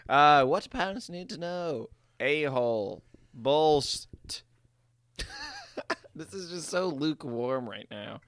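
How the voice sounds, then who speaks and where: Young man, podcast co-host. Bright, forceful, swoopy delivery, giggly and stumbling, casual and comedic. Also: fairly high pitch, wildly swooping pitch, very slow, pauses often, no echo, studio quality. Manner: giggly, often stumbles while reading. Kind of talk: casual comedic chat, reading reviews aloud.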